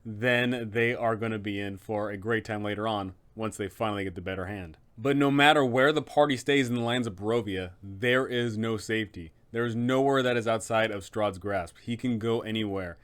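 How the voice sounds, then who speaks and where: A man's voice: fairly low pitch, moderate pace, few pauses, smooth. A man hosting a game master's guide, narrating in an emphatic, conversational explainer style.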